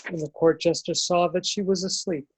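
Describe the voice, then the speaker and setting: A storyteller narrates a fairy tale from a script. The voice holds a medium pitch throughout the reading. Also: fairly even intonation, normal speed, slight background noise.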